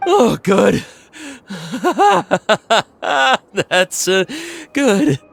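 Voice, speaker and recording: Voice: medium pitch, big pitch swings, very slow, creaky, heavy background noise, full character voice. An actor voicing a jumpy, frightened stranger who stammers and talks frantically. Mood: panicked. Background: faint game music.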